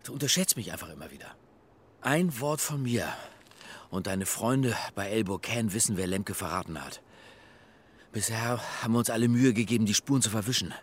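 An actor playing a menacing East German secret police handler in a crime radio play, taunting and condescending. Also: male voice, lowish voice, animated, a little fast, slight background noise, close to an everyday voice. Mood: threatening.

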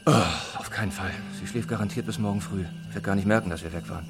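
A man acting a middle-aged husband and father in a radio play, weary and teasing but blunt and quick to take charge. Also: fairly low pitch, fairly even intonation, over music, lightly acted. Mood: brisk, decisive.

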